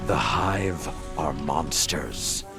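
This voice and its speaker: Gravelly, stern old war mentor. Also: male voice, rumbling low, expressive, extremely slow, over music, full character voice. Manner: grave, emphatic, reproachful. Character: stern older male military mentor, unforgiving. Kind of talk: dramatic science fiction game acting.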